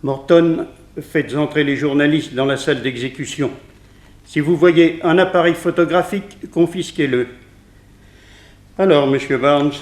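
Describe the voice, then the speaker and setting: An actor playing a stern prison warden, solemn, formal and commanding, in a 1960s French radio drama. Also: male voice, on the high side, a little slow, darkish tone, natural voice. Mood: brisk, firm. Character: stern, authoritative male prison warden presiding over execution. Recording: echoey hall, old radio production.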